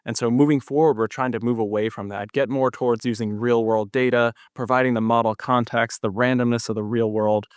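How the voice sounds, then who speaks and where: A man's voice: medium pitch, steady tone, a little fast, few pauses, clear, resonant tone, dry recording, clean studio sound. A man speaking as a podcast guest, a researcher explaining his field in an interview answer.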